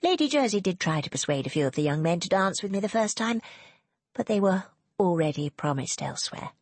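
A woman narrator acts a young society lady in a period romance. She is mild, frank and polite, with a faintly husky tone in a dry recording. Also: fairly low pitch, a little fast, no echo, heavily acted. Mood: candid, matter-of-fact. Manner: polite, even-tempered.

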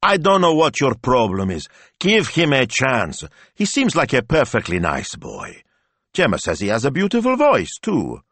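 Storybook matriarch, voiced by a male narrator: Italian grandmother, bossy, exasperated, snappy, lifted and highly animated in pitch. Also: normal speed, dry recording. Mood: stern, exasperated. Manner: scolding, snappy, emphatic.

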